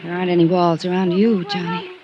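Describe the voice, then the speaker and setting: An actress playing a flirtatious, devoted companion with a soft, intimate, coaxing delivery in a 1950s radio drama. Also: female voice, fairly low pitch, fairly even intonation, fast, muffled recording, background noise, close to an everyday voice. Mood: tender, seductive.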